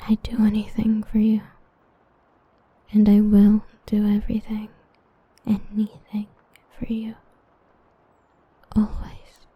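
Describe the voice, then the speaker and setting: A woman playing an obsessive, possessive female villain in an ASMR roleplay, breathy and intimate with menacing devotion. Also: mid-range voice, completely flat delivery, slow pace, pauses often, no room sound, narrow, tinny audio. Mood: tender devotion. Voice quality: breathy, whispery. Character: obsessive yandere female villain, tenderly possessive, murderously protective.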